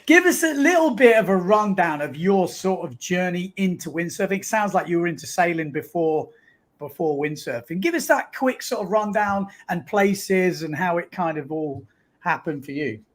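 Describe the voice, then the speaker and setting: A man's voice: sits high, lively intonation, normal speed. A man in his forties co-hosting a podcast, chatty and enthusiastic, asking loose rambling questions. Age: 40s. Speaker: podcast co-host. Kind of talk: casual podcast chat.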